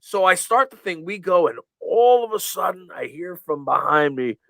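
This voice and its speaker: A man's voice: high-pitched, moderate pace, gravelly, dry recording. Rambling man telling stories, dry mic. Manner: rambling, full of fillers and restarts; acts out quoted lines in lively voices. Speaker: guest, professional wrestler. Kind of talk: casual storytelling in a podcast interview.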